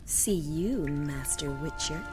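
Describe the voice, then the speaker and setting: A woman's voice: deep voice, slow pace. A woman playing a spirited, haughty young noblewoman in a video game, well-spoken and formal in her phrasing. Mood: polite, chatty. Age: young adult. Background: background music.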